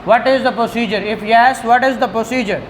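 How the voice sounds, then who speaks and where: A man's voice: very high voice, extremely slow. A man from Pakistan giving a law lecture, who asks questions and answers them himself and mixes in English legal terms. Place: room with a whiteboard. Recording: roomy echo with faint background noise.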